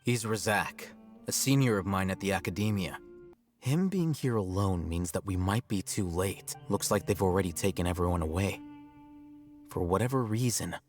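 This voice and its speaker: Young male game scholar, acted by a man: low register, composed, analytical, measured delivery over game music. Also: close to an everyday voice. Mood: grave, observant. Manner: measured, deliberate, expository. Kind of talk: scripted video game voice acting.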